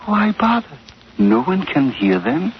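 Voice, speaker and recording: Male villain, 1940s radio serial: coolly polite, commanding, lively highish delivery, dull hissy vintage recording. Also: unhurried. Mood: cold command. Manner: formal, precise, imperious. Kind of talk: 1940s radio adventure acting.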